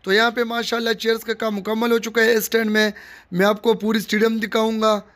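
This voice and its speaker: A man's voice: high-pitched, monotone, quick pace, a bit bright. A man, giving a casual walking tour of a stadium under construction to viewers, filmed on a handheld phone outdoors. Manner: addresses viewers directly, repetitive showing phrases. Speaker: vlogger giving construction updates. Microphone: handheld phone camera held by the speaker. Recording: faint outdoor noise.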